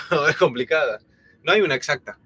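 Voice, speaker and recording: Lively bright-voiced Argentine man. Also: a little high, brisk, a little hiss or hum. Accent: Argentine Spanish, softened by years in Spain. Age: 30s. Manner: chatty, rambling, many fillers and restarts. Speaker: co-host of a live stream. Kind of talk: casual live-stream chat.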